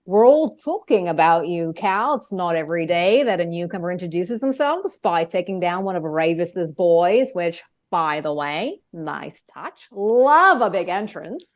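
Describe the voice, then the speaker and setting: An actress voicing a sly, teasing game character with a drawling manner. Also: female voice, on the low side, animated, unhurried, hardly any pauses, a little hiss or hum. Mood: wry.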